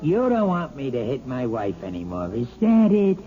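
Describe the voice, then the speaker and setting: A man's voice: medium pitch, wildly swooping pitch, moderate pace, mellow. An actor playing a boozy, swaggering husband who bullies his wife, in a 1970s radio drama. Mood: menacing. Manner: sneering, swaggering. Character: boozy bullying husband, middle-aged man. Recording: tinny old radio broadcast with music.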